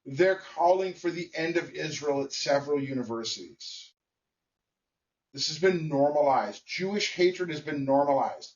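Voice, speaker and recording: A man's voice: fairly high pitch, lively intonation, darkish tone. Blunt, emphatic man, distant and dull. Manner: emphatic, blunt and colloquial, with pauses between short phrases. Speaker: vlogger. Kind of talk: personal opinion monologue to camera.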